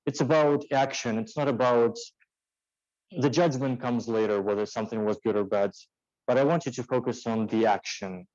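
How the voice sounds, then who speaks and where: A man's voice: medium pitch, normal speed, a little mellow, no echo. A man giving an impromptu motivational speech, using rhetorical questions and short emphatic exhortations. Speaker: impromptu speaker at a speaking club. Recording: dull, muffled lo-fi sound.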